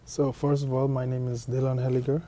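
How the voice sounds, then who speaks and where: A young man from Guyana being interviewed about his cricket, answering haltingly and often repeating his words. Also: mid-range voice, level tone, dark tone, a little hiss or hum. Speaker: cricketer guest.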